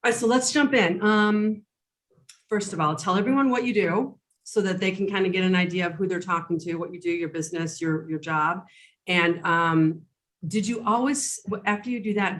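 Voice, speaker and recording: A woman's voice: medium pitch, moderate pace, fairly bright tone. A woman hosting a podcast interview over a video call, bubbly and enthusiastic, rambling with fillers. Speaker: podcast host. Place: Chicago.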